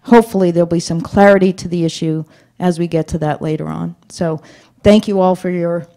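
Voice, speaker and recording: A woman giving a thank-you speech as outgoing mayor at a city commission meeting, halting with many fillers and restarts. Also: on the low side, fairly even intonation, dark tone. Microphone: fixed meeting microphone.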